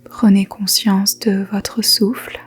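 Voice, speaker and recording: A woman guides a yoga nidra meditation in a soft, whispery voice. Her delivery is very slow and even, keeping close to one pitch. Faint music plays beneath her gentle instructions. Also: husky. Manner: slow, gentle, soothing instructions with long pauses.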